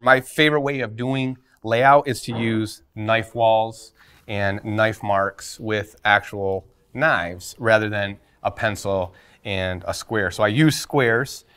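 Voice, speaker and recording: A man's voice: on the low side, fairly even intonation, slow pace, fairly bright tone, smooth. A man in his thirties, a guest woodworker, patiently explaining and demonstrating his craft in a large workshop, recorded on a lapel mic. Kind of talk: instructional chat. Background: faint guitar music.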